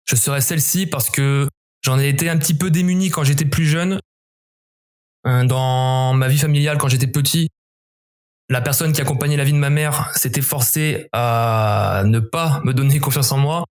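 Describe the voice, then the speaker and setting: A man's voice: medium pitch, fairly even intonation. Reflective French man giving interview answers. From southern France, near Montpellier. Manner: measured, reflective, with frequent restarts. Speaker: guest, former special forces member.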